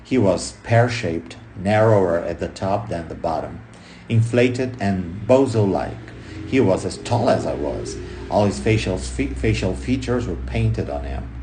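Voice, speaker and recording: A man reading a book aloud expressively, in punchy short phrases with dramatic pauses and emphasis. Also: low-pitched, animated, warm tone, slight background noise, rough recording. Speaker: audiobook reader.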